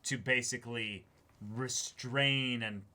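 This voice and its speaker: A man with a bright, forward voice talks casually as co-host of a reaction show. His pitch sits in a middle range. He circles back over his words, restarting and rephrasing as he thinks aloud. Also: fairly even intonation, slow. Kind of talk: casual discussion.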